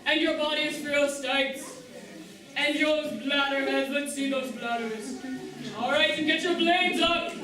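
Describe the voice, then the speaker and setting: High-voiced, echoey manta ray teacher played by a woman. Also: steady tone, unhurried, sharp tone. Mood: cheerful, encouraging. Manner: enthusiastic, encouraging, projecting to children. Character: upbeat manta ray schoolteacher leading young ocean explorers. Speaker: puppet show teacher and presenter. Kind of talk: interactive children's puppet show lesson. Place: large echoey room with live audience. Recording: echoey hall, distant, audience crowd behind.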